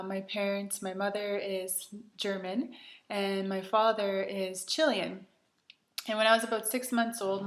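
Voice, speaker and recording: A woman's voice: mid-range voice, fairly bright tone, echoey room. A woman in her thirties from Canada, chatty and earnest, with many ums, telling her personal story to camera as host of a retreat.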